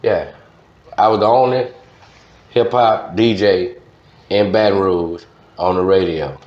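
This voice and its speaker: Deep-voiced Louisiana man in an echoey room. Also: takes it slowly. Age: middle-aged. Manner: slangy, repeats words and phrases. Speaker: guest, veteran radio DJ. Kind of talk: casual interview chat. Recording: dull, roomy studio sound.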